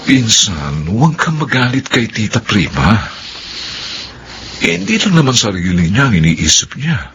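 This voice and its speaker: Filipino cousin calming things down in a radio drama, hesitant, animated up-and-down voice. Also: male voice, lowish voice, unhurried, over music. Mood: placating. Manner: conciliatory, hesitant. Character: loyal, placating male cousin of the young hero.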